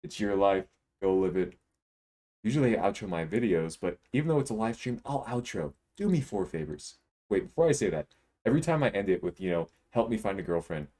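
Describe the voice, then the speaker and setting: Deep-voiced young man streaming a game. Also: expressive, fairly quick, warm tone. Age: 20s. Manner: laid-back, joking, rambling. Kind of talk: casual live-stream chat while gaming.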